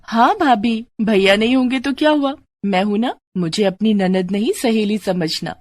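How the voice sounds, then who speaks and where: A woman's voice: mid-range voice, normal speed, darkish tone, no echo, natural voice. A woman voicing a pert young college-age sister-in-law in a moral-story cartoon. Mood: chirpy, reassuring.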